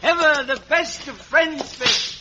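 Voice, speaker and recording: An actor playing a simple, loyal, tender-hearted country man, warm and emotional, in a 1950 radio drama. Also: very high voice, slow. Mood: affectionate farewell. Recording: noisy vintage radio recording.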